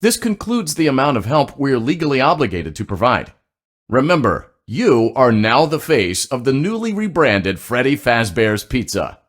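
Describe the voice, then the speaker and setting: A slick, upbeat announcer for a corporate training tape in a video game. The pitch is mid-range and swings in a lively, animated way. The delivery is a peppy sales pitch, with glib asides slipped in deadpan. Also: male voice, normal speed. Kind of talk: scripted instructional narration, sales pitch.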